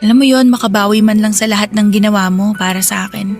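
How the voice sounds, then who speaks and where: A woman's voice: medium pitch, fairly even intonation, fast, a little mellow, faint music behind, natural voice. A woman playing an affectionate, grateful young woman in a radio drama, speaking earnestly and tenderly. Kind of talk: Tagalog radio drama dialogue.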